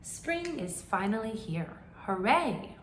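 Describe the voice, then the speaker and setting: A woman's voice: medium pitch, big pitch swings, takes it slowly, echoey room, a little hiss or hum. A woman voicing a cheerful, eager little mouse in a children's storybook, speaking in an encouraging way.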